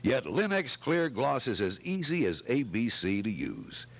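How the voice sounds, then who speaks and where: A man's voice: medium pitch, wildly swooping pitch, measured pace, creaky. A man announcing in scripted old-time radio style, dramatic and emphatic, like a sponsor's salesman.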